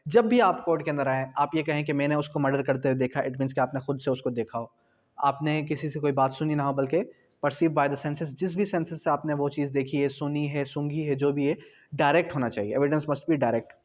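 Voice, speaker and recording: A man's voice: on the high side, moderate pace. A man with a Pakistani accent teaching in an explanatory lecture style, repeating key points and posing questions that he answers himself. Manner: didactic, repetitive, asks and answers own questions. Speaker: law lecturer. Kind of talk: explanatory exam-prep lecture, mixing Hindi-Urdu and English.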